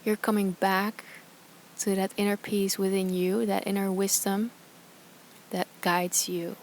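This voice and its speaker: A woman guiding a meditation as a yoga teacher, speaking softly and soothingly in short, calm phrases with pauses between them. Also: mid-range voice, slight background noise. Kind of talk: guided meditation instruction.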